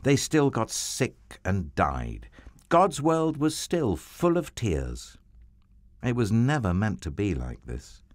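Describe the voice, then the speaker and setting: Deep slow-paced storybook narrator man. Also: no echo, studio quality. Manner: slow, expressive, sweeping storytelling.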